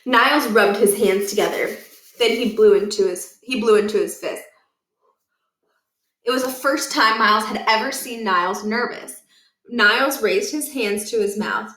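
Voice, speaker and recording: A woman narrating a children's book aloud in an expressive, storytelling way. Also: a little high, moderate pace. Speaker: storybook reader. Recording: roomy, echoing indoor recording.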